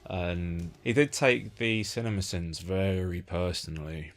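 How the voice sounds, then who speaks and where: A man's voice: low voice, a little slow. A British man interviewing in a casual, informal and opinionated way, speaking with enthusiasm. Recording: lo-fi amateur setup with faint music.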